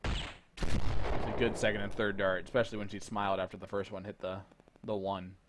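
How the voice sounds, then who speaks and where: A man's voice: on the low side, a little fast. Chuckling male darts commentator, noisy line. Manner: relaxed, chuckling between calls. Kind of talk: play-by-play commentary. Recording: noticeable background noise.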